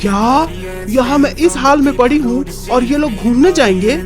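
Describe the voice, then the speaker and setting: A woman's voice: high voice, moderate pace, natural voice. Woman acting as theatrical, grumbling older mother-in-law, music behind. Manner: theatrical, complaining, sarcastic. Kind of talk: animated family drama acting.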